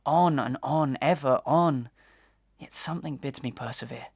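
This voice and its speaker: Man acting an earnest, declamatory wronged gentleman. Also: medium pitch, moderate pace, gravelly. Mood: weary, resolute. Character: earnest disgraced gentleman, impassioned and honourable. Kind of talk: Victorian melodrama audio acting.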